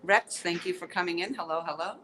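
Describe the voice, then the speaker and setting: A woman's voice: lowish voice, a little fast, fairly bright tone, slight background noise. A woman hosting a casual live-stream tour in an outdoor courtyard, chatty and upbeat with many ums as she greets viewers, sounding stuffy-nosed and sniffly.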